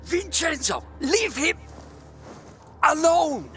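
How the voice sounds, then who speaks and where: A man's voice: extremely high pitch, sing-song, fairly bright tone, exaggerated voice. A man playing a flustered, stammering father in a video game. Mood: alarmed, scolding. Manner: halting, stammering. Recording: game music behind the voice.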